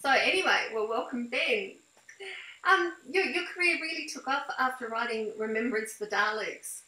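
Female interviewer, middle-aged. Crisp bright tone, slightly high, in an echoey room on a video call. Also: expressive, normal speed. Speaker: interviewer, host. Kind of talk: conversational interview with scripted introduction. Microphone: device mic on a video call.

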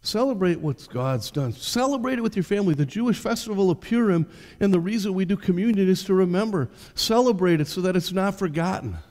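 A man's voice: high-pitched, animated, a little fast, few pauses, darkish tone. An older man, a pastor preaching conversationally from a church stage and putting direct questions to the congregation. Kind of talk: sermon. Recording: long reverb of a church hall.

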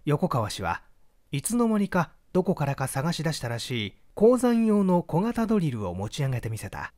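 Animated male mystery narrator, dry sound. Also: fairly high pitch, normal speed, dry recording. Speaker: audiobook narrator. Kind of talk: dramatic scripted mystery narration.

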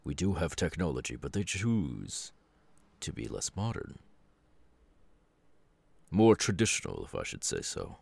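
Man acting out a very deep, gravelly weary father. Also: wildly swooping pitch, darkish tone, no echo, heavily acted. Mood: calm, advising. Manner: slow, deliberate, halting delivery with sighs. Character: stern, weary middle-aged superhero father, brooding but caring. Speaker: voice actor. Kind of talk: ASMR audio roleplay.

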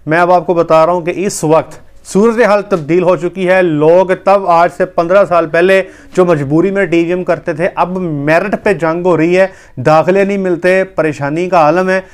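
A man's voice: high-pitched, steady tone, normal speed, few pauses, clean tone. A man, a veterinary doctor talking casually to camera in a small room on a lapel mic, earnest and gesturing as he explains. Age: 30s. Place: small indoor room with wood-panelled wall.

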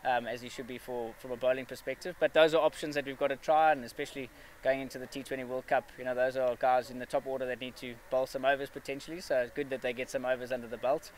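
A man in his thirties talks fast in an even, flat tone, stopping often on fillers and restarting his sentences. He answers post-match questions outdoors, with faint background noise behind him. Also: mid-range voice. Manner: measured, many fillers and restarts. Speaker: cricket player at a press conference. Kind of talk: post-match press interview. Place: outdoor press area with a backdrop.

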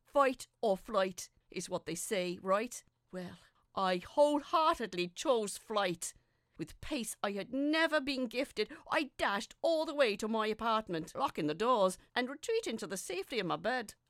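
A woman reading scripted first-person fiction as a dramatic narrator, with a deliberate, suspenseful, theatrical delivery. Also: fairly high pitch, lively intonation, a bit bright, dry recording, studio quality.

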